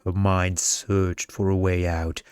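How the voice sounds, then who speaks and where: Male ASMR narrator needed: smooth low tone, unhurried measured reading of a bedtime story, very dry sound. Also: level tone, darkish tone, dry recording.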